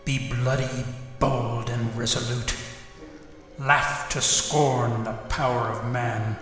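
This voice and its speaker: A solemn male apparition, played by an actor, incanting prophecy at a very slow pace. His commanding voice booms through long, cavernous reverb in a radio play, with faint music behind. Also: fairly even intonation, lightly acted. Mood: solemn, ominous. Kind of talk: Shakespearean radio drama acting.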